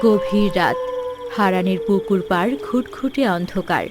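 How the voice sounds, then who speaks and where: A woman's voice: medium pitch, measured pace. A woman narrating a scripted village story. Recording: clear music behind the voice.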